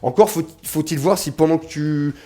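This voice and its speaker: A man's voice: fairly high pitch, moderate pace, mellow. A young man with a slight cold giving casual, slangy gaming commentary to his viewers, often reading game text aloud. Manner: chatty, slangy, addresses viewers as "les gars", reads game text aloud. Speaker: mobile game video creator.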